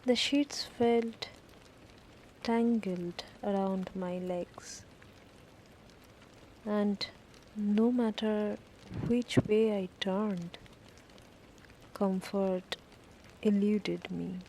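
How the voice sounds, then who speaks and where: A woman narrating a guided sleep meditation story in short, deliberate phrases with long pauses between them. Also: medium pitch, fairly even intonation, dark tone, a little hiss or hum, poor audio. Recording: rain ambience behind the voice. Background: falling rain.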